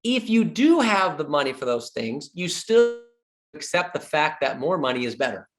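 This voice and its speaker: A man's voice: fairly high pitch, big pitch swings, normal speed, music in the background. Middle-aged guy coaching real estate agents, voice goes up and down a lot, punchy and joking. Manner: emphatic, repeats key points, jokes with the audience. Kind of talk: sales training talk.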